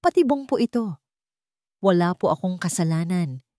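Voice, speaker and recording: A woman's voice: fairly low pitch, animated, normal speed, mellow, dry recording, clean studio sound, lightly acted. A woman voicing a timid young female office employee, nervous and pleading, in a romantic audio drama. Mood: tearful pleading.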